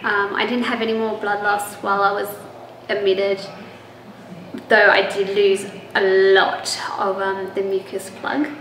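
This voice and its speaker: A woman's voice: medium pitch, flat delivery, measured pace, a bit bright. A young woman giving a casual pregnancy vlog update, chatty with lots of ums and restarts, and she is losing her voice. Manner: chatty, many ums and restarts, laughs easily. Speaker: pregnancy vlogger. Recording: echoey room with faint noise.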